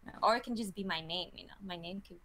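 A woman's voice: lowish voice, very fast, a crowd in the background. A woman in her twenties from Kazakhstan, a model answering interview questions in a casual, chatty way with lots of fillers and small laughs. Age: 20s. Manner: chatty, giggly, many fillers like "you know".

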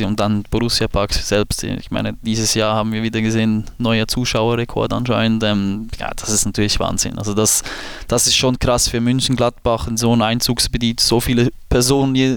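A man in his late twenties from Switzerland with a Swiss German accent, a guest talking casually in a podcast interview and pausing often with fillers and restarts. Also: lowish voice, clear, resonant tone, dry recording. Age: 20s. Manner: hesitant, many fillers and restarts. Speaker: football goalkeeper guest.

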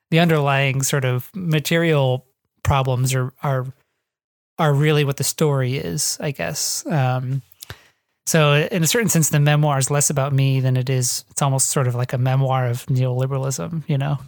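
An American author speaks in a reflective interview style, at a middle pitch. The delivery is halting and discursive, as thoughts get worked out aloud. The sound is dry and close, with very little room in it. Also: no room sound. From northwest Ohio, United States. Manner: halting, discursive, thinking aloud with frequent hedging. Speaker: guest author of a memoir. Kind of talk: reflective podcast interview talk.

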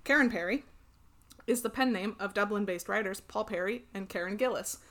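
A woman's voice: medium pitch, a little fast, fairly bright tone. Giggly young American woman chatting. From United States. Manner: laughs often, swears freely. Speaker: podcast co-host. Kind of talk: casual chat.

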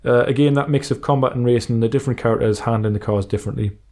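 A man's voice: mid-range voice, steady tone, racing, darkish tone. A man co-hosting a podcast, chatting informally and reading out listener messages. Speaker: podcast co-host. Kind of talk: casual chat about retro racing games, reading out listener emails.

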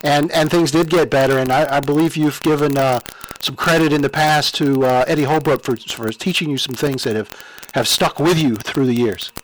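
A man's voice: on the high side, steady tone, moderate pace, rough recording. A man conducting a casual interview, attentive and easygoing, with a conversational way of putting questions.

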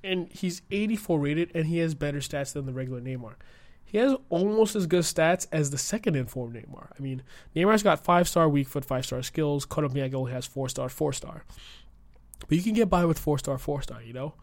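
A young man hosting a casual solo podcast, his voice croaky from a cold, chatty and rambling with many fillers. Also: a little high, brisk, a little mellow, dry recording. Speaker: podcast host and streamer.

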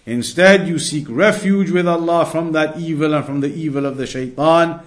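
A man with a slightly high voice teaches a religious lesson. He explains things point by point in an instructive manner, coming back to key phrases to stress them. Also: normal speed. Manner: didactic, repeats key phrases for emphasis.

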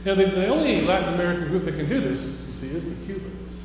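A man's voice: sits high, expressive, quick pace. A man from Houston giving a conversational chapel talk to a live audience, telling stories and rising to emphatic questions. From Houston, Texas. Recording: echoey hall from far off, dull with hum.

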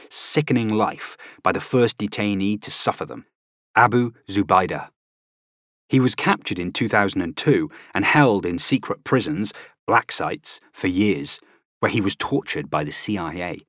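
A man's voice: lowish voice, lively intonation, moderate pace, a bit bright, no echo. Guy narrating a nonfiction audiobook, emphatic and really varied intonation, super dry close sound.

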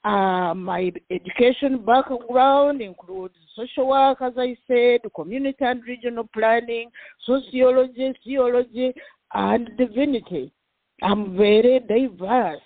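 A high-voiced woman in her sixties with a Ugandan accent, talking slowly and deliberately, pausing on fillers. She comes through a narrow, tinny phone line with no room sound. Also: no echo. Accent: Ugandan, with some American Midwest. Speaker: guest, professor and pastor. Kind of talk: radio interview, self-introduction.

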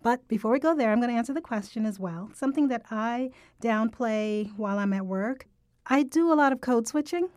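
A woman from Jamaica co-hosting a podcast, chatting in an upbeat, lively, personable way. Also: on the high side, fairly quick, no echo, clean studio sound. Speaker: podcast co-host. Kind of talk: casual podcast conversation.

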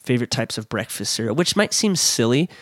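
A man in his late twenties talking as an interview guest, thoughtful and halting, often restarting. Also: medium pitch, big pitch swings, no room sound, clean studio sound. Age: late 20s. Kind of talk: casual interview chat.

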